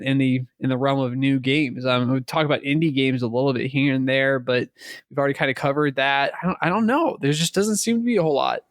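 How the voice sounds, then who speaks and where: A man's voice: medium pitch, dry recording, clean studio sound. A man co-hosting a podcast, chatting casually about games in an informal, conversational way. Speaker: podcast co-host. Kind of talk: casual gaming chat.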